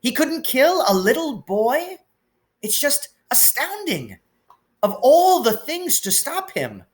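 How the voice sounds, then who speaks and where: A man's voice: extremely high pitch, huge pitch swings, slow, pitched above the performer's own voice, heavily acted. A man voicing an anxious, stern older witch who is a professor in a storybook. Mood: astonished, faltering. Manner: astonished, disapproving.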